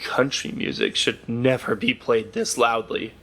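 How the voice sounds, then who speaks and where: A man's voice: medium pitch, steady tone, measured pace, a bit bright. A man playing an earnest radio news anchor delivering breaking news in an audio drama. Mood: grave, rattled. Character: earnest, oddly priority-confused male radio news anchor.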